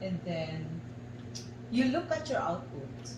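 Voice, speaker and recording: A woman with a Filipino accent guides an art exercise as a facilitator, giving deliberate instructions in short, separated phrases. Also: lowish voice, sing-song. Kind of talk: slow guided instruction for a radio lesson. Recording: echoey room, clear background noise.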